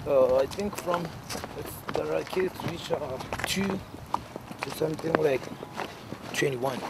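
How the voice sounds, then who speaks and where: A man's voice: a little high, slow, creaky. Hesitant man amid street noise. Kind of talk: casual spoken explanation. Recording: noisy outdoor street ambience.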